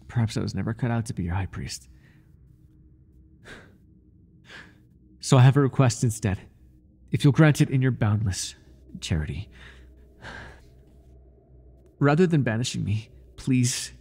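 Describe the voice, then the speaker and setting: A low, gravelly male high priest, acted out by a man in an intimate ASMR roleplay. He is servile and obsessive, pleading with his dark master in a mellow, husky voice that hangs on every word of devotion. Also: full character voice. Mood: desperate begging.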